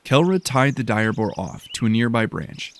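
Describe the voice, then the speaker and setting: A man's voice: medium pitch, big pitch swings, moderate pace, slight background noise. A man narrating a scripted science fiction audio drama as its storyteller.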